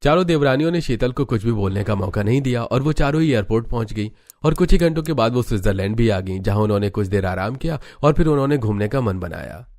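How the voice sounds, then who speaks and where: A man's voice: mid-range voice, lively intonation, fast talker, a little mellow, no echo, clean studio sound. A man reading scripted storybook narration as the narrator of a cartoon tale.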